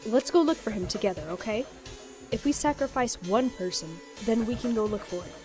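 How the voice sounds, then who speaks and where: A woman's voice: a little high, expressive, a little fast, darkish tone, faint music behind, lightly acted. A woman playing a cool, pragmatic, commanding character in a game fan dub, persuasive and businesslike.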